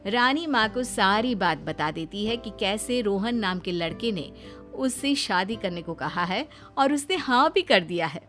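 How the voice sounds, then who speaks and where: A woman's voice: mid-range voice, lively intonation, a little slow, a bit bright, faint music behind. Woman narrating a cartoon moral story.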